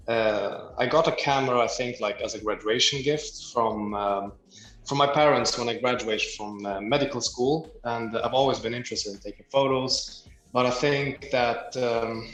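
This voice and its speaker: A young man from Libya, raised in Sweden, talks through his answers at a middle pitch, hesitating often with fillers. He laughs lightly now and then. His voice rings in a roomy, echoing space with faint music underneath. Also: a bit bright. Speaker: guest photographer and doctor. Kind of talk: casual interview answers.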